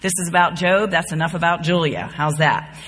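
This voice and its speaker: A woman in her fifties teaches a Bible study with a husky edge to her bright voice. She speaks in a folksy, conversational way to a group. The room rings with long reverb. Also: on the low side, expressive, fairly quick. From born and raised in the Bahamas, later North Carolina. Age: 50s. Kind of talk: informal devotional teaching to a group. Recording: reverberant hall.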